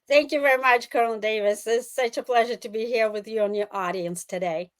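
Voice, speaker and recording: A middle-aged woman with a Russian accent, explaining as an expert interview guest in a deliberate, emphatic way with pauses mid-phrase. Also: a little high. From Soviet Union. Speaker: guest analyst, former intelligence officer.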